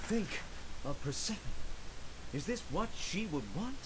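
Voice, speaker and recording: A man's voice: high-pitched, sing-song, measured pace, noisy background. An actor playing an earnest, awkward man rehearsing the part of an advisor in a game audio log. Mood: pleading, solemn.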